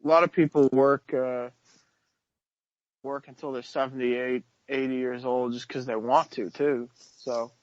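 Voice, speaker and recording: A man's voice: mid-range voice, steady tone, a little slow, no room sound, dull audio. A man in his thirties co-hosting a casual radio talk show, laid-back and slangy. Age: 30s.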